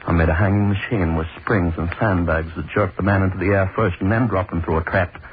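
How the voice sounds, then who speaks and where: A man's voice: deep voice, fairly even intonation, brisk, lightly acted. An actor playing a sadistic, haunted middle-aged hangman in a brooding, obsessive 1930s radio horror monologue. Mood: brooding, gloating. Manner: brooding, obsessive, repeats words. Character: gloating, sadistic, haunted old-time hangman. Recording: muffled, noisy 1930s radio recording.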